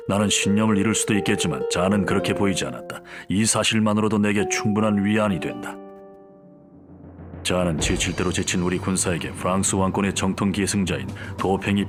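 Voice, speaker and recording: Male actor as weary soldier diarist, low voice, grave measured storytelling, game cutscene narration, music behind. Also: natural voice. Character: weary, grave French soldier reading his war diary. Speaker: campaign narrator. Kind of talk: scripted diary narration for a game.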